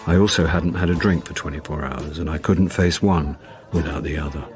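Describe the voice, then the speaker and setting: A man's voice: rumbling low, a little fast, soft background music. An actor playing a rueful, evasive middle-aged man in a British radio drama, with a dry, defensive, sardonic delivery and an English accent. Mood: wry narration.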